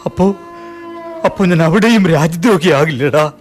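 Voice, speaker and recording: A man's voice: a little high, moderate pace, lightly acted. An actor playing a young man forced to flee his homeland, speaking with earnest anguish in a radio drama. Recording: music bed under the voice.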